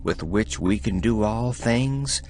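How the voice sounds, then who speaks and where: Slow, low-voiced man reading solemnly. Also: a little mellow. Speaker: audiobook reader. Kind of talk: scripted reading of devotional letters.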